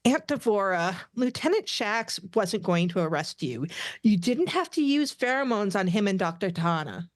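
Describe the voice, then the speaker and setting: A woman voicing an earnest young alien niece who pleads like an embarrassed teen, in an animated sci-fi comedy table read. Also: mid-range voice, normal speed, no echo, studio quality, lightly acted.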